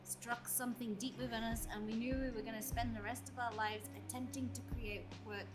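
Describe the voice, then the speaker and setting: A woman's voice: on the high side, fairly even intonation, a little fast. A woman reading a children's book aloud in a dramatic group reading. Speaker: reader in an online group reading. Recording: music clearly behind voice.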